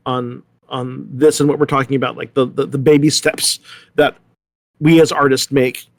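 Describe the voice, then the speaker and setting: A man hosting a thoughtful discussion, speaking haltingly and repeating words as he works through an idea. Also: mid-range voice, flat delivery, no room sound. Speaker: host moderating a panel discussion.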